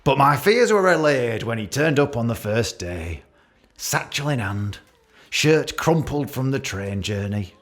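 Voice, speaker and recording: Actor as a rambling, catty British office boss, animated. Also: male voice, mid-range voice, a little slow. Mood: fond, rambling. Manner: hesitant, repetitive, jokey with barbed asides. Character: awkward, catty office boss giving a colleague's leaving speech. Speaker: actor in a radio play. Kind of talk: radio play monologue, rambling speech.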